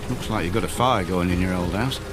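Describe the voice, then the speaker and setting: Concerned male neighbor played by an actor, lowish voice, tactful and inquisitive, radio drama with loud music and rain. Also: fairly quick, natural voice. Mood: concerned, apologetic. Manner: hesitant, tactful, probing.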